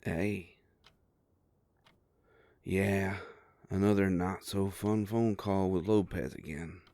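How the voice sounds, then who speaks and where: Man acting as male werewolf private eye, low-pitched, sardonic, gruff but soft-hearted, audio drama, very dry close sound. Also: steady tone, slow pace, no room sound. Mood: wry, reassuring. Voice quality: low gravelly voice. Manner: sardonic, grumbling, conversational. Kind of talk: first-person audio roleplay drama.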